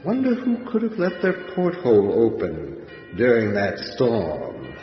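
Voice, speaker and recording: An actor playing a gleefully macabre undead horror host for a radio series, with theatrical, mock-genteel delivery. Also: male voice, mid-range voice, huge pitch swings, a little slow. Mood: macabre glee. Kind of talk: old-time radio horror hosting. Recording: dull sound, long echoing reverb. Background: music.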